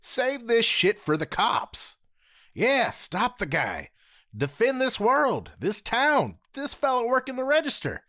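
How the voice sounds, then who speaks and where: A man's voice: extremely high pitch, wildly swooping pitch, slow, sharp tone, no room sound, clean studio sound, pitched above the performer's own voice, full character voice. A man voicing an earnest young hero who pleads urgently with a friend in an audio drama.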